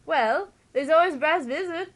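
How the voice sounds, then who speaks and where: A woman's voice: high voice, moderate pace. A young woman hosting a mock 1920s radio show.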